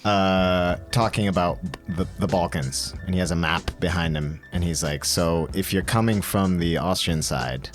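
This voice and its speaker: A man's voice: low-pitched, normal speed, few pauses, soft background music. A man hosting a podcast, asking curious questions in casual chat. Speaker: podcast host.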